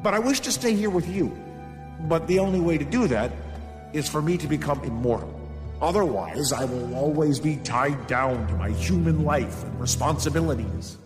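A man's voice: fairly high pitch, animated, moderate pace, natural voice. A man playing an earnest, smitten young mortal fisherman with a stammering, flustered manner in a children's audio drama. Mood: earnest, pleading. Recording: echoey hall reverb under loud music.

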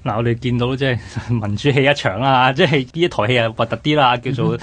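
A man's voice: medium pitch, quick pace. A man with a Hong Kong Cantonese accent giving conversational historical commentary as a radio host, explaining through rhetorical questions.

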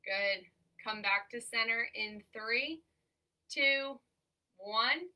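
A woman's voice: on the high side, bright, forceful tone. A young woman, an occupational therapist, leading an exercise class in an upbeat, encouraging way, congested from allergies and breathing a little heavily. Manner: upbeat, encouraging, counts down holds slowly. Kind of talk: guided workout instruction. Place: small room at home, in front of a banner backdrop. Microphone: camera mic from across the room. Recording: voice from a distance off a camera mic.

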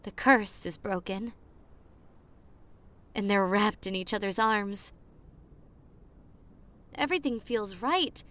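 A woman's voice: medium pitch, fairly bright tone. A woman playing a popular girl telling ghost stories to her secret crush in a teasing, suspenseful ASMR roleplay. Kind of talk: roleplay ASMR, hushed dramatic storytelling.

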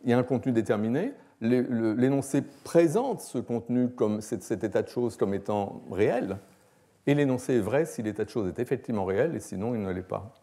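Male academic lecturer, 60s. Relaxed dark timbre, measured explanatory delivery with hesitations. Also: medium pitch. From France. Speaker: philosophy professor. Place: lecture hall, at a lectern.

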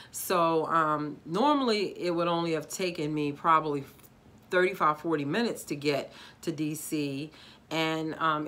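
Chatty Baltimore woman vlogging at home. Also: low-pitched. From Baltimore, USA. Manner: animated, talks with her hands, addresses viewers as guys. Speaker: YouTube vlogger. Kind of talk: casual chatty review to camera. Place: decorated living room, indoors.

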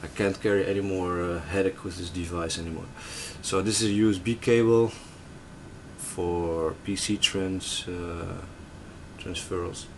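A man's voice: low voice, steady tone, measured pace, slight background noise. A man with a Dutch accent giving casual unboxing commentary, thinking aloud in a hesitant way. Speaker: tech reviewer. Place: indoors at a desk in a room.

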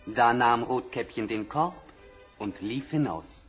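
A man narrating a fairy tale for an old record, measured and expressive. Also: mid-range voice, faint music behind. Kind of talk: storybook narration. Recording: vinyl record, faint hiss.

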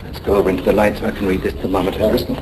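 An actor playing a formal, concerned ship's captain in a radio drama, speaking with polite, measured authority. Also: male voice, sits low, flat delivery, noisy background, natural voice. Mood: grave concern.

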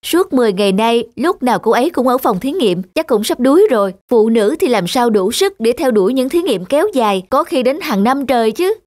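A man's voice: very high voice, sing-song, a little fast, few pauses, a little mellow, no room sound, clean studio sound. A man voicing a sceptical, proud young scientist in a cartoon, formal in manner. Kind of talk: animated drama dubbing. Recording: music under the voice.

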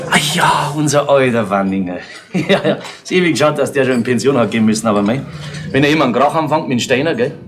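A man's voice: mid-range voice, expressive, fast, lightly acted. An actor playing a chatty, easygoing policeman in a 1970s radio crime drama. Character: chatty male police officer Fröschel.

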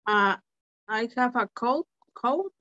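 A woman whose first language is Spanish, reading aloud haltingly as a student in an English lesson. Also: fairly high pitch, lively intonation, sharp tone, lo-fi sound. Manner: slow, halting reading with hesitations.

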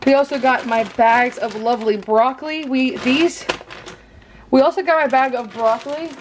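A chatty young woman with a fairly high voice walks through her grocery haul in a home kitchen. She talks straight into a handheld camera held close, with a faint hiss underneath. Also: moderate pace. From Pennsylvania. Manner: chatty, bubbly, teasing. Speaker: vlogger. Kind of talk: casual grocery haul vlog. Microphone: handheld camera at arm's length.

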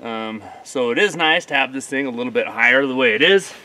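A man talks through a hands-on job outdoors in a casual, matter-of-fact way, as if thinking aloud for his vlog. His voice sits at an average male pitch, with some ambient noise around it. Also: expressive, fairly quick, sharp tone, rough recording. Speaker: homesteader vlogger. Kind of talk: casual explanatory chat. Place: outdoors at a homestead sawmill site.

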